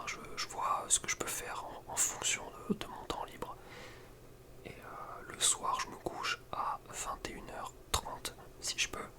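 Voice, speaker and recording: A young man speaks entirely in a soft, breathy whisper. He chats informally in slangy, rambling phrases, in the manner of an ASMR video creator talking to viewers. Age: young adult. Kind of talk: whispered ASMR chat about personal routine.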